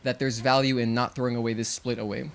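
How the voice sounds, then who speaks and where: A man's voice: medium pitch, level tone, quick pace, gravelly. A young man whose first language is Spanish, chatting casually to viewers while he plays a game. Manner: chatty, thinks out loud, counts combo hits. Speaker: streamer. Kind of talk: casual gameplay commentary.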